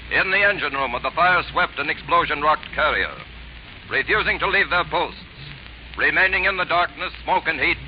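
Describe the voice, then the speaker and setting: A man's voice: on the high side, a little hiss or hum. A man announcing a formal wartime tribute on a 1940s radio broadcast. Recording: dull 1940s radio recording.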